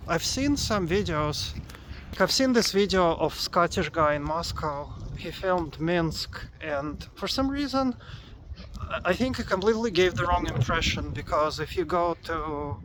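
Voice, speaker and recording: Man, 40s, from Russia, casual vlog, rambling with fillers, slightly high voice, outdoors, faint traffic behind. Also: fairly bright tone, rough recording. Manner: rambling, halting, many fillers. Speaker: vlogger. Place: outdoors in a city among tall buildings, windy.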